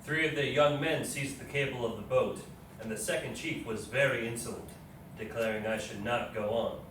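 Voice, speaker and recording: Echoey, deliberate man playing a frontier officer. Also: medium pitch, fairly even intonation, fairly bright tone, natural voice. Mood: indignant report. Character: resolute, matter-of-fact male frontier expedition officer, reading his journal. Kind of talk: readers theatre journal recitation. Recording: echoey room, distant mic, faint noise.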